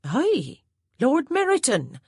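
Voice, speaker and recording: A woman voicing an elderly, humble household servant who talks briskly in a country dialect. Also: mid-range voice, very animated, slightly rough, no echo, clean studio sound, pitched above the performer's own voice. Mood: eager. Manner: brisk, says "aye" and "mum" in a country dialect.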